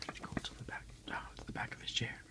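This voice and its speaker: A man speaking as an ASMR host, soft-spoken and unhurried, in a casual style. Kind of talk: whispered ASMR unboxing, reading aloud and chatting.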